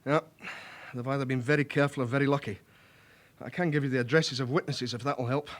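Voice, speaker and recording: An actor playing a helpful, matter-of-fact police records officer in a radio drama. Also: male voice, mid-range voice, steady tone, fast, lightly acted. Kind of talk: British radio drama acting.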